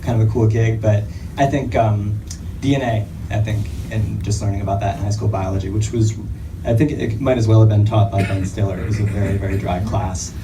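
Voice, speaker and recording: A man who researches insects, answering interview questions on stage in a halting, jokey way, often correcting himself. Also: fairly low pitch, fairly even intonation. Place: comedy theatre stage. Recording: distant mic with loud hiss.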